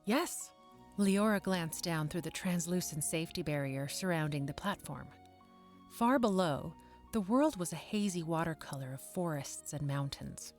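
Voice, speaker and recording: Audio drama narrator: woman, slightly low register, descriptive and measured, gentle music bed. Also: lively intonation. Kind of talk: scripted fantasy story narration.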